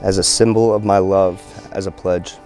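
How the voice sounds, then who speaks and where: Groom, male, 30s, mellow delivery of wedding vows, earnest and stumbling, light music behind. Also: deep voice, steady tone. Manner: halting, earnest, stumbles over words. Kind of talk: reading personal wedding vows aloud. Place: outdoor wedding ceremony in a field. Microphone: handheld microphone held nearby by officiant.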